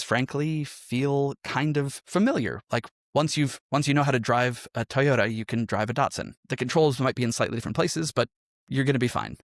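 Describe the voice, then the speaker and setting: A man's voice: mid-range voice, dry recording, clean studio sound. A man talking conversationally as an interview guest about his work as a game master.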